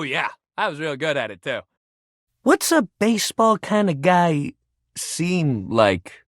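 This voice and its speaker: Animated bro-ish sports coach shuttlecock. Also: male voice, on the high side, no echo, studio quality, full character voice. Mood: casual, nostalgic. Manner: slangy, bro-ish, encouraging, swearing casually. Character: living shuttlecock, upbeat laid-back young male sports coach. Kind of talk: video game character voice acting.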